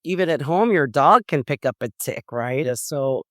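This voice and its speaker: A man's voice: mid-range voice, animated, moderate pace, no room sound, clean studio sound. A man hosting a podcast, asking questions in a casual, conversational way. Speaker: podcast host.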